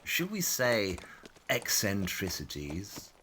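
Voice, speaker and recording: Arrogant detective, bright-toned and animated. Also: male voice, on the low side, slow, slight background noise, heavily acted. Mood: aloof. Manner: clipped, aloof, superior. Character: brilliant, arrogant English male detective. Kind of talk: audio drama acting.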